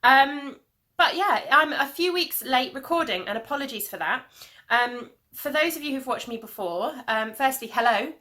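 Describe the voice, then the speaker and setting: Woman in her thirties, higher bright voice, rambling friendly vlog host, slightly sniffly. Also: animated, normal speed. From England. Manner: rambling, chatty, many ums. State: sniffly from hay fever. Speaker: knitting podcast host. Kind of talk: casual video podcast chat. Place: home.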